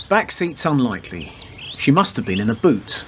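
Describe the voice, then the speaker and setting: An actor playing a teasing, down-to-earth detective sergeant in a radio play, matter-of-fact and bantering. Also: male voice, medium pitch, big pitch swings, moderate pace, lightly acted. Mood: analytical. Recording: noisy amateur recording with background ambience.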